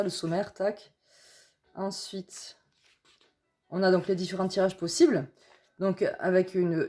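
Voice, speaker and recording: A young woman from France with a hoarse voice from a cold, chatting casually and rambling with many fillers as she presents a tarot deck. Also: fairly low pitch, dark tone. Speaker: tarot reader. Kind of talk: casual tarot vlog chat.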